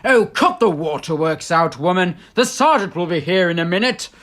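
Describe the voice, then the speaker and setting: A pompous, bickering upper-class husband, voiced by a woman blusters and snaps. The delivery swoops widely in pitch. Amateur audio drama. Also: lively intonation, normal speed, fairly bright tone. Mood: snappish. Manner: snappish, blustering.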